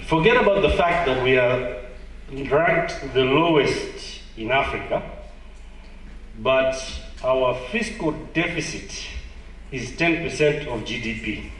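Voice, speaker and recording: A man's voice: mid-range voice, animated, slow pace, fairly bright tone, a little hiss or hum. A man with a Malawian accent giving a conversational, self-deprecating conference presentation and putting questions to the audience. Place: conference hall. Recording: echoey hall, distant mic.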